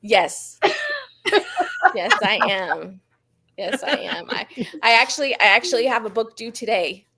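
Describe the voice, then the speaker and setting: A woman's voice: fairly high pitch, lively intonation, measured pace, bright, forceful tone. A woman author speaking as a guest in a casual book chat over a video call, candid and self-deprecating. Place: live online video call.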